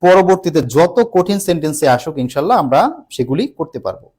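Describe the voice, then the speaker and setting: A man's voice: a little high, fast talker, a little mellow. A man teaching an online English grammar class for exam preparation. He explains patiently, repeats key points and puts questions to his students. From Bangladesh.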